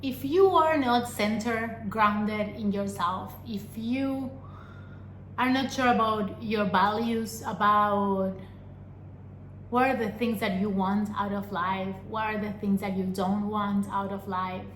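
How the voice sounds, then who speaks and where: Woman from Argentina, young adult, reflective vlog talk, unhurried with pauses, rhetorical questions, echoey room. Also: mid-range voice, fairly even intonation, slight background noise. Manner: earnest, pausing and restarting, asks rhetorical questions. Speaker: vlogger. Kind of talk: reflective personal talk to camera. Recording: echoey room with faint ambience.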